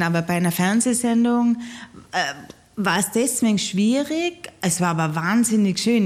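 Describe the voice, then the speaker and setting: A woman's voice: medium pitch, a little mellow. A woman in her forties with an Austrian accent, chatting as an interview guest and telling anecdotes with many fillers. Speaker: guest singer and TV presenter. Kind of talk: relaxed interview chat.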